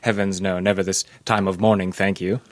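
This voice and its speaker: A man's voice: low-pitched, flat delivery, fairly quick, background noise, lightly acted. An actor playing a courteous, precise doctor in an old-time radio mystery, formal and guarded in his wording. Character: formal, smooth, accusing male sanatorium doctor.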